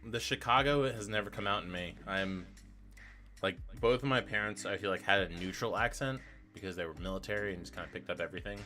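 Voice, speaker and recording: A man's voice: fairly low pitch, fast talker, sharp tone, faint music behind. A young man co-hosting a trivia stream, chatting casually in a rambling, profane way and correcting himself as he goes. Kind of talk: casual chat.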